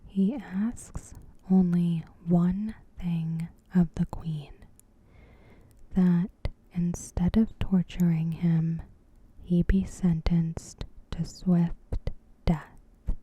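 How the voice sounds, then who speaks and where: Female ASMR narrator, whispered and very slow, gentle recap read with flat, even delivery. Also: on the low side, slight background noise. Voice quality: breathy, whispered soft speech. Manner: gentle, unhurried, evenly measured. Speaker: ASMR video host.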